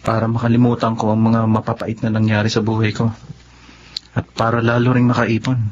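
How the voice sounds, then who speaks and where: A man's voice: lowish voice, completely flat delivery, fairly quick, slight background noise, natural voice. A man playing a devoted, earnest young husband and father in a Filipino radio drama. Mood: sober, resolved. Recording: muffled audio with music behind.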